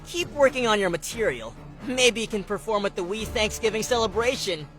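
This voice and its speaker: A small, brave cartoon dragon leader. The voice is bright and forceful, earnest and level-headed, over soft music. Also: male voice, sits high, expressive, a little fast. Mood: steady, resolute. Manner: earnest, resolute. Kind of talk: animated cartoon voice acting. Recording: music behind the voice.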